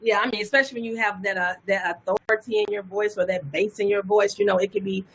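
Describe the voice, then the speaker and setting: Guest wife, mid-pitch, measured, affirming. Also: female voice, fairly even intonation, vocal fry, fairly bright tone, breathy edge, low-quality recording. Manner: affirming, thoughtful, many "yes" replies. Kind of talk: casual conversation.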